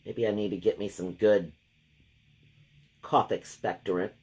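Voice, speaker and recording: A woman hosting a live craft painting session. She has a deep, gravelly voice left over from a cold, and she chats and thinks aloud while she works. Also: steady tone, a little slow, a little mellow. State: lingering gravelly voice after a cold. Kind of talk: casual live painting demo.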